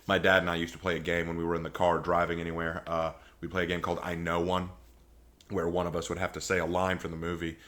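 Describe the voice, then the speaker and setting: A man's voice: deep voice, monotone, fast talker, fairly bright tone. A man hosting a reaction video with casual, rambling and opinionated commentary.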